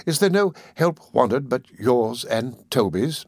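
A man voicing a crafty old criminal in an audiobook, with an oily, coaxing manner and drawn-out phrases. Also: medium pitch, animated, no room sound, studio quality. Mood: eager, sly. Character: wheedling, crafty old male criminal schemer. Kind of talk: audiobook character voice.

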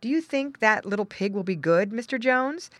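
A woman voicing a doubtful but indulgent father in a children's storybook, deliberate and a little hesitant. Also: fairly high pitch, animated, no echo, voice pushed up, lightly acted. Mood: musing.

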